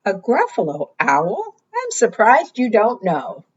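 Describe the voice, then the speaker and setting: A woman's voice: fairly high pitch, wildly swooping pitch, takes it slowly, slightly rough, dull audio, pitched above the performer's own voice, heavily acted. A woman voicing a small, clever and cheeky brown mouse from a picture book, in an emphatic storybook manner.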